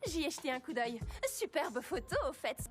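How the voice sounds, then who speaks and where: A woman voicing a perky, trend-obsessed teenage girl with a gushing manner in an animated series dub. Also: high-pitched, moderate pace, over music. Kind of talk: animated cartoon dub acting.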